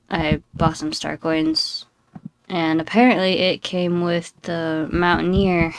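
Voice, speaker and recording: A young woman chatting through a game session in a slow, unhurried drawl, her voice a little scratchy from just waking up. She sits somewhat low in pitch and sounds dry and close. She rambles, apologizes and pokes fun at herself. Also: dry recording. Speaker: gaming channel host. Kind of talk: casual gameplay commentary.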